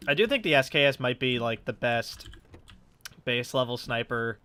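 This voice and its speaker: Guy gamer doing lets play chatter, bright punchy voice, bit stuffed up, rambles and swears. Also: mid-range voice, animated, normal speed, clear, resonant tone. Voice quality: slightly stuffed-up nose. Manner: rambling, thinking aloud. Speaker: gaming YouTuber. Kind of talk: casual let's play commentary, swearing. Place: his room at home.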